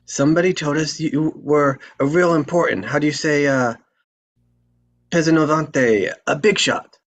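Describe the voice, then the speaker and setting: A man playing a brash, eager young Sicilian bodyguard with a raspy voice in a live script table read. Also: fairly high pitch, fairly even intonation, normal speed, lightly acted. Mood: curious. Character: excitable young Sicilian bodyguard, brash, pleading and star-struck by America.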